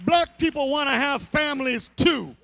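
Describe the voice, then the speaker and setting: Impassioned male preacher, high creaky voice. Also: moderate pace, a bit bright. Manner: dramatic, emphatic, rhythmic repetition building in intensity. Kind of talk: impassioned sermon-style oration at a live event. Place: live public gathering, speaking to an audience.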